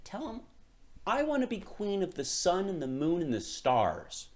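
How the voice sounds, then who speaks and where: A male reader puts on a fisherman's wife from a storybook, imperious and never satisfied. Her voice lifts higher and swoops widely. She gives loud, curt commands. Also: huge pitch swings, unhurried, exaggerated voice.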